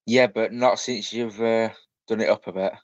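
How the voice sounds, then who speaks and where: A man's voice: fairly low pitch, fairly even intonation, moderate pace, dry recording. A young adult man chatting casually as a guest on a livestream, over a lo-fi video call. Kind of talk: casual chat. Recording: dull lo-fi video-call audio.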